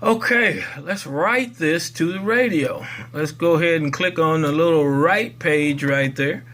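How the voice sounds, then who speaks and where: A man with a voice pitched a little higher than average walks viewers through a hands-on tutorial. He speaks casually and in a folksy way, drifting into rambling asides as he explains. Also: unhurried, a bit bright, a little hiss or hum. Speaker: tutorial video host. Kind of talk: casual spoken how-to demonstration.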